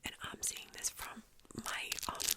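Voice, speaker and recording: A woman from New York whispering chatty neighborhood gossip in ASMR style, with casual swearing. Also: measured pace. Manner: whispering throughout, chatty, swearing, animated retelling. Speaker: vlogger telling neighborhood gossip.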